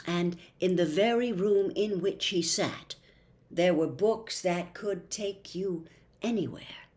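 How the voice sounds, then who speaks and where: A woman reading a classic story aloud as narrator, measured and expressive, with dramatic pauses. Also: lowish voice.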